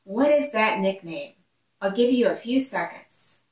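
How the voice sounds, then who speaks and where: A woman's voice: medium pitch, animated, unhurried, a little mellow. A woman in her sixties, a novelist, giving a scripted book introduction in an echoey kitchen, away from the microphone. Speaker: mystery novelist. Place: home kitchen. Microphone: camera a few steps away. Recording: roomy kitchen echo, distant microphone.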